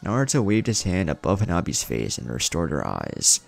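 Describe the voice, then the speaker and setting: A man narrates a story in an exceptionally deep voice. His delivery is dramatic and lively, swinging in pitch, with a gravelly creak. Music plays underneath. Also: normal speed. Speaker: fan fiction narrator. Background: background music.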